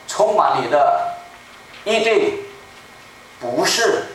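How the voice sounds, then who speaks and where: A man in his seventies preaching a sermon in a small church, speaking slowly and emphatically and repeating his key phrases. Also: fairly high pitch, expressive, fairly bright tone. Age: 70s. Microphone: room mic from a far camera. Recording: echoey room, distant mic, faint noise.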